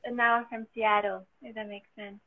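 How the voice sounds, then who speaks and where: A woman's voice: medium pitch, flat delivery, fairly quick. A woman with a French accent, talking casually as a guest and laughing often, hopeful and full of faith. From France. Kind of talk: casual conversation. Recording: tinny phone-like line.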